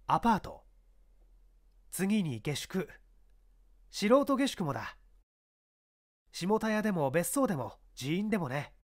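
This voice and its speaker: Shrewd, commanding detective inspector played by a male reader, raised and lively pitch, brisk authority, mystery audiobook. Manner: brisk, authoritative, giving orders. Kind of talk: dramatized mystery audiobook reading.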